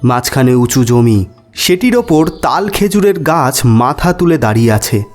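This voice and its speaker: A man narrating an audio story as its host, in a measured, dramatic and expressive storytelling manner. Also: mid-range voice. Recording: background music under voice.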